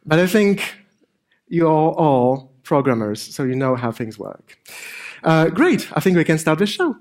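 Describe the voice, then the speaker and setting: Male conference speaker, middle-aged, French accent with a Polish hint, slightly high voice, informal, hesitant delivery with dry humour. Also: big pitch swings, unhurried, a little mellow. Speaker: conference speaker, AI researcher and improviser. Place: on stage at a conference.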